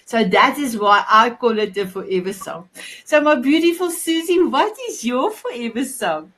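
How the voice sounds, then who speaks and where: A woman's voice: on the high side, animated, moderate pace, a bit bright. A woman, an Afrikaans speaker, hosting a casual online chat show, effusive and affectionate, often restarting her sentences. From South Africa. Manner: effusive, repeats "my beautiful", stumbling restarts. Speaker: host.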